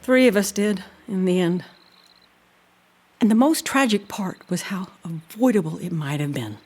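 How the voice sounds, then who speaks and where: An actress playing a kindly, reflective housekeeper in an audio drama, speaking in a grave, deliberate way. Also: female voice, mid-range voice, darkish tone, husky, natural voice. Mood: sombre.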